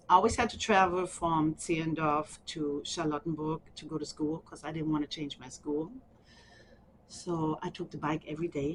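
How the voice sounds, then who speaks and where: German lady in an oral history chat, lowish voice, lots of ums, bit of hiss. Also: poor audio. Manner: soft, halting, with frequent ums and small laughs. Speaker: interviewee.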